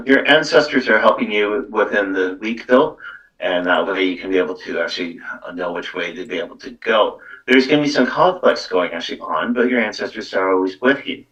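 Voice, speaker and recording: A man's voice: lowish voice, bright, forceful tone. A man hosting a casual live psychic show, rambling along and padding sentences with filler words. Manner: rambling, repeats filler words like actually and though. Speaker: psychic medium podcast host. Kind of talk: casual live card-reading show talk. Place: live online stream. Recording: muffled, distant mic.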